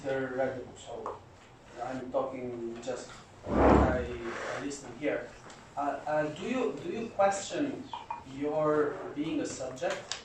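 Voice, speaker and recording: A man from Albania asking a question from the audience at a conference, speaking slowly and searching for words. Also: medium pitch, noisy background. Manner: slow and searching. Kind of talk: slow, searching question from the floor. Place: conference hall. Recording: echoey hall, distant mic.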